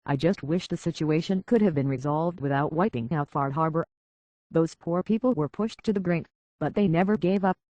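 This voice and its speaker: A woman's voice: deep voice, moderate pace, darkish tone, no echo, dull audio, natural voice. An earnest young woman in a video game, played by an actress, speaks with hesitant sincerity and open vulnerability. She sounds conflicted, as if searching for who she is. The sound is close and very dry. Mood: somber, regretful.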